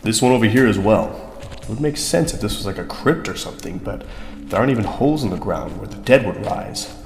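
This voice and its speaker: A man playing a stubborn, commanding adventurer in a fantasy audio drama, speaking gravely. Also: fairly low pitch, lively intonation, a little fast, a little hiss or hum. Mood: wary. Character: determined, commanding male adventurer in a fantasy party. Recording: echoey reverberant hall with music behind.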